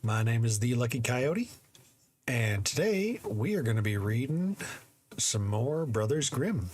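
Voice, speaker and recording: A man hosting a storytelling channel, chatting casually with many fillers before a reading, his voice slightly hoarse. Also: mid-range voice, big pitch swings, unhurried, darkish tone, slight background noise. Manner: rambling, hesitant, many fillers, chuckles.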